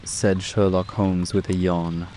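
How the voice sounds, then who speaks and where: Man as deep, even, mellow storyteller. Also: slow pace, a little hiss or hum. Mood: calm. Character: measured, thoughtful male narrator of detective story. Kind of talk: scripted narration. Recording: faint rain ambience behind.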